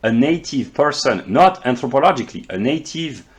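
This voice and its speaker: A man's voice: medium pitch, lively intonation. A man from France with a French accent, speaking English as a second language in an unscripted philosophical monologue, often stammering and repeating words as he searches for terms. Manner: measured, explanatory, professorial. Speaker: philosophy commentator.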